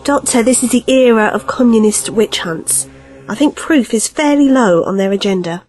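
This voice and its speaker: A woman acts a determined companion in an audio drama. Her voice is on the high side, loyal yet exasperated, over faint music. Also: moderate pace, darkish tone, natural voice. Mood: urgent, exasperated.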